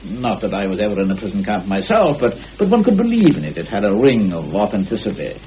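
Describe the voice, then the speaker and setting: Muffled, deep-voiced police inspector. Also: male voice, huge pitch swings, quick pace. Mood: genial, wry. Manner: probing, courteous, wry. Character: shrewd, genial male police inspector. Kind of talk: old British radio drama acting. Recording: muffled, noisy old radio recording.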